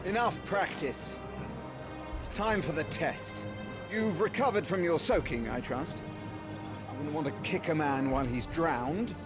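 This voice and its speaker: A man's voice: fairly high pitch, unhurried, music in the background, lightly acted. A man playing a seasoned, formal swordmaster in a fantasy video game, teasing and instructing a young lord in a theatrical manner. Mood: challenging.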